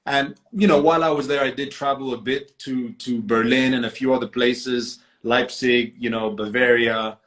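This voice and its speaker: A man from Zambia answering interview questions over a video call, relaxed and conversational. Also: mid-range voice, moderate pace, hardly any pauses. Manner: relaxed, conversational, fillers like you know. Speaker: guest artist.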